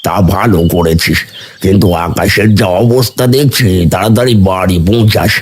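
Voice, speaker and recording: A man's voice: fairly low pitch, moderate pace, slight background noise, natural voice. A man voicing a nosy but caring older village uncle in a cartoon story, with a folksy way of talking. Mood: concerned.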